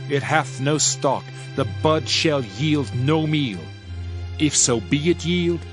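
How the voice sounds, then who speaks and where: Male actor as stern God of prophecy, solemn, declamatory, mid pitch, dramatized Bible reading, prominent background music. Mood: foreboding. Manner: solemn, declamatory, archaic phrasing. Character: God speaking in grave, wrathful judgment through prophecy.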